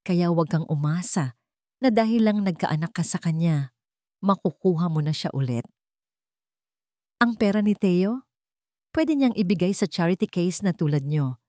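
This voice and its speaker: A woman's voice: on the low side, lively intonation, moderate pace, pauses often, mellow, dry recording, studio quality, close to an everyday voice. A woman voicing a haughty young rich fiancée in an audio romance drama, sweet on the surface with menacing emphasis. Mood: quietly venomous.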